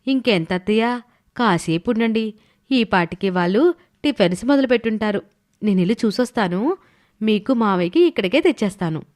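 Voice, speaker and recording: A woman's voice: mid-range voice, lively intonation, moderate pace, darkish tone, natural voice. A woman playing a stubborn, thrifty young village wife who explains herself earnestly in a moral story cartoon. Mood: brisk, persuasive. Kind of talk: animated moral story voice acting.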